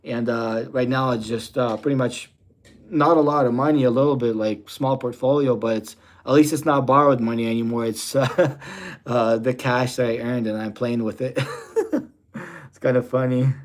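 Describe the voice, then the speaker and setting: A man's voice: medium pitch, steady tone, normal speed. A young man from Russia chatting casually on a livestream, answering viewer questions with loose rambling talk full of fillers. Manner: rambling, heavy fillers like "you know" and "type deal". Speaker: livestream host.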